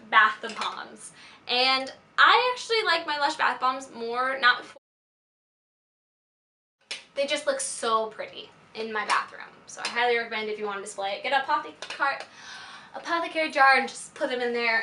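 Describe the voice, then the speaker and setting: A young American woman speaks in a fairly high, bright voice with a slight rasp. She chats casually like a lifestyle vlogger, gushing and stressing her points. The sound is echoey, as if in a room at home. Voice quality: slightly raspy. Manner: gushing, informal, emphatic. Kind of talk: casual chatty product favorites video. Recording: roomy echo, faint background noise.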